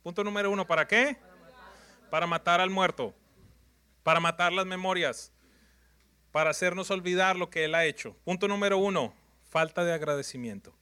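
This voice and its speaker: A man's voice: high voice, moderate pace, pauses often, pushed and bright, clean tone. A man preaching a sermon in numbered points, emphatic and repetitive, often putting questions to his congregation.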